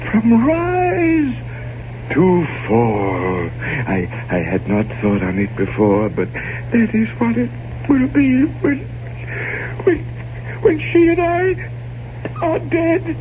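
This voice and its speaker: An actor playing a tormented heir sliding into madness in old-time radio horror style, halting theatrically and repeating words. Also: male voice, very high voice, huge pitch swings, exaggerated voice. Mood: morbid, feverish. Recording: dull, noisy old radio broadcast.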